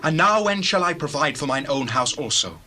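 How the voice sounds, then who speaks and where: An actor playing an earnest, righteous shepherd in a dramatized Bible reading, with declamatory delivery. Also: male voice, on the high side, lively intonation, a little fast, bright, forceful tone. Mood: earnest, insistent. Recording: noisy scene with sheep and bird effects.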